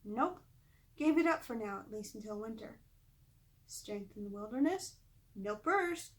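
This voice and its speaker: A woman's voice: medium pitch, lively intonation, a little slow, close to an everyday voice. A woman voicing a playful, teasing creative older sister in a storybook. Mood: coy, teasing. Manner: coy, teasing.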